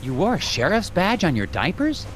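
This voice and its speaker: An actor playing an earnest, puzzled FBI agent in an animated game, hesitant yet matter-of-fact. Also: male voice, high voice, moderate pace, slight background noise. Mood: inquisitive.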